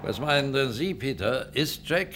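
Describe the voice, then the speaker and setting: A man playing a stern, weary starship commander and father in a science-fiction radio drama, gruff, halting and authoritative. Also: mid-range voice, expressive, a bit bright, soft background music, close to an everyday voice.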